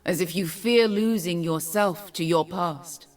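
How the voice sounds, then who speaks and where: An actress playing a poised, regal noblewoman in a video game, speaking formally in cool, measured phrases. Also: fairly low pitch, recorded from a distance, close to an everyday voice. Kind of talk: video game character voice lines.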